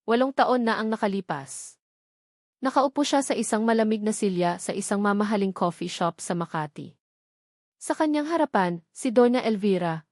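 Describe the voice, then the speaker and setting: A woman's voice: medium pitch, a little mellow, dry recording. Woman narrating a dramatic romance story, dry recording.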